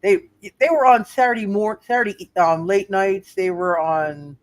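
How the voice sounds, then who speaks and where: A man's voice: sits high, normal speed. A man narrating a live tabletop roleplaying game as game master, casually rambling and repeating his phrases.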